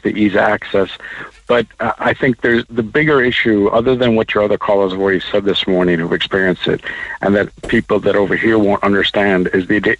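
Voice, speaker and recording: A man calls in to a talk radio show. His voice is on the low side. He explains his point thoughtfully, pausing on fillers as he goes. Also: a bit bright, dry recording, dull audio. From United States. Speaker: caller. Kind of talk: phone-in discussion.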